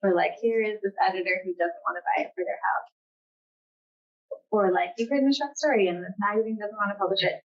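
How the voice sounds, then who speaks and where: A woman's voice: medium pitch, fast talker. Casting: American woman writer, informal and hesitant, heavy on "like", slightly muffled lo-fi event recording. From California, United States. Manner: informal, hesitant, frequent "like" as filler. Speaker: novelist, guest author. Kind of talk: casual unscripted answers at a literary event.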